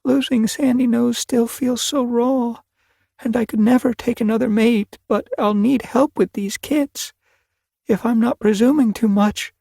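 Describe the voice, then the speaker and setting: A man voicing a weak, grieving young female cat in an audiobook, speaking softly and breathily. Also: very high voice, steady tone, a little slow, gravelly, dry recording, voice pushed up, heavily acted. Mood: tender, pleading. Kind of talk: audiobook character voice.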